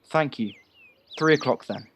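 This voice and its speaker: A man acts out a young village lad for an audio drama. He is chatty and eager, with an artless candour. His talk rambles along openly, in a middling pitch. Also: a little slow, slight background noise, lightly acted. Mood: eager, cheerful. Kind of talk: audio play acting.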